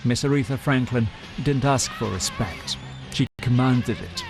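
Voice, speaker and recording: A man's voice: medium pitch, unhurried, gravelly. A British man narrating a scripted television news report as its reporter. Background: music.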